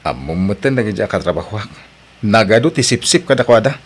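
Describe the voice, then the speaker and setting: A man's voice: fairly low pitch, animated, fairly quick, breathy edge. A man playing a hard-working husband and father in need of a job, in an Ilocano radio drama with an Ilocano Filipino accent. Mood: eager.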